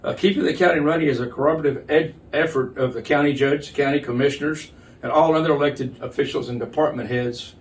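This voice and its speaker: An older man, an official being interviewed, explaining things in a measured, halting, plain-spoken way. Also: a little high. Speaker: county judge, interview guest. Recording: distant mic, noticeable background noise.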